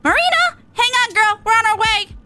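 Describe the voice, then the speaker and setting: A woman voicing a brash, upbeat young pop star from a video game, slangy, punchy and excitable. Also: extremely high pitch, moderate pace, very noisy, pitched above the performer's own voice. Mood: determined, caring. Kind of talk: character reading of video game dialogue.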